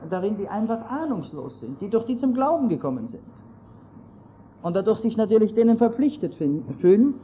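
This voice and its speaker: A man's voice: high-pitched, a little hiss or hum. A man with an Austrian accent, somewhat Germanized, giving an earnest, digressive talk on church history to an audience. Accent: Austrian German, partly Germanized. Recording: dull lo-fi audio.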